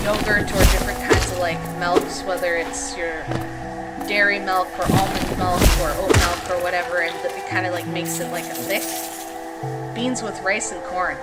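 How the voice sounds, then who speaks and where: Chatty woman streamer over loud music. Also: on the low side. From Canada (Alberta). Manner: rambling, many fillers like "like" and "um". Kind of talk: casual chat with stream viewers. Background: loud background music.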